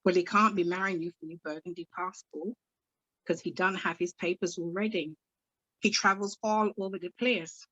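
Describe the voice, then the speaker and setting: A woman's voice: on the low side, steady tone, fairly bright tone, dry recording, muffled recording. Casting: hot-tempered older Barbadian mother, put on by a woman reading aloud, Barbadian accent, scolding, dry close sound. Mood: exasperated. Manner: rapid, exasperated questioning, old-fashioned sayings.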